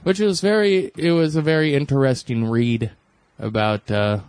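A man co-hosting a casual chat, easygoing and halting, with many fillers. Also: mid-range voice, fairly even intonation, a little mellow, smooth, no room sound. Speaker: co-host. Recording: dry close sound with faint music.

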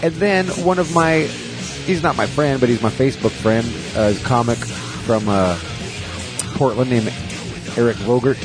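A man's voice: mid-range voice, a little slow. A man hosting a casual chat show, rambling and swearing with sarcastic asides, a bit congested after a cold. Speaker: podcast host. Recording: music playing in the background.

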